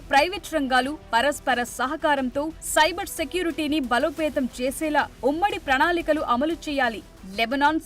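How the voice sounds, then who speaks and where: A woman's voice: high-pitched, fairly bright tone. A woman delivering a scripted news narration as a reporter. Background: music behind the voice.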